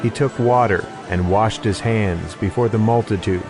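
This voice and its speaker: A man's voice: on the low side, moderate pace. A man giving a scripted narration of an audio Bible. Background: music behind the voice.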